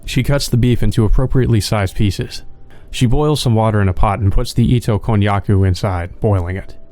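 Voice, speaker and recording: A man reading visual novel narration aloud for a let's play, in a plain, measured storytelling manner. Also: lowish voice, darkish tone.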